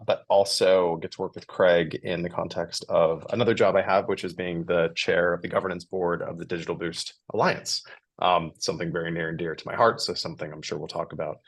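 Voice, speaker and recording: An American man in his forties talks through a relaxed podcast interview. His voice sits very low with a gravelly edge and some huskiness. He is chatty and full of filler words. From United States. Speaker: guest.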